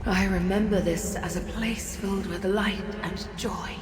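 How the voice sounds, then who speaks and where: A woman's voice: mid-range voice, fairly even intonation, background noise, natural voice. Solemn, echoing otherworldly goddess, voiced by a woman. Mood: grave, foreboding. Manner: grave, measured, portentous. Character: solemn female godlike prince, grave otherworldly guide. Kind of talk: scripted fantasy video game dialogue. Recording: echoing reverberant voice, music behind.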